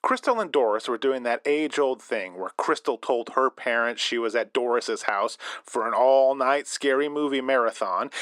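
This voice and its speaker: A man's voice: mid-range voice, a bit bright, dry recording, natural voice. Man acting as a dry-sounding spooky-story radio host. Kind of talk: scripted storytelling narration.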